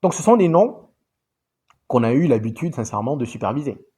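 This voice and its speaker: Male football vlogger, mid pitch, conversational and animated, addresses viewers directly. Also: brisk, slightly rough. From grew up in Saudi Arabia. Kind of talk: casual commentary to viewers.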